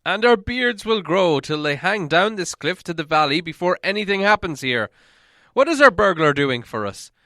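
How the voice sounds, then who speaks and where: Man putting on loud grumbling dwarf, raised pitch. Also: dry recording, studio quality. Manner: loud, sardonic complaining. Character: grumbling, impatient male dwarf with a long beard.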